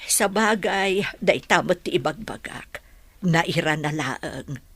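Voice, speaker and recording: A woman's voice: sits low, slow pace, a bit bright, heavily acted. A woman playing a caring, plain-spoken older mother in a radio drama, conversational and matter-of-fact. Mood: concerned.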